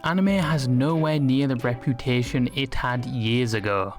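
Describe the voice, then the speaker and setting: A man's voice: medium pitch, moderate pace. A man delivering scripted comedic video narration in a crude, sardonic manner, as a video essayist. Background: background music.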